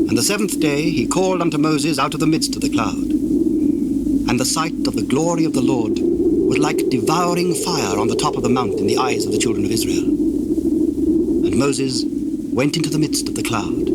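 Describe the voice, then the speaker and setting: A man giving a formal, measured narration of dramatized scripture. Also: mid-range voice. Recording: very noisy old cassette tape.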